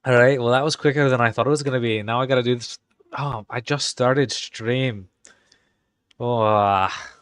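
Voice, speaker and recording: A man's voice: medium pitch, moderate pace, fairly bright tone, clean tone, no echo. A young man live-streaming in a put-on Irish accent that slips now and then, chatting casually and muttering to himself while he types game commands.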